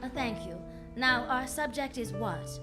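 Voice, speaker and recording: A woman's voice: fairly high pitch, a little slow, over loud music, lightly acted. An actress playing a breezy, confident female private detective with a wry, flippant delivery in an old-style radio drama. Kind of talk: 1940s-style radio detective acting and narration.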